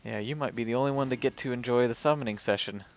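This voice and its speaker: A man's voice: medium pitch, fast, dull audio, slight background noise. A man giving casual, offhand game commentary and chatting to viewers as he plays. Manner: offhand, talks to himself while playing. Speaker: video game livestreamer. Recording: game music behind the voice. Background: video game music.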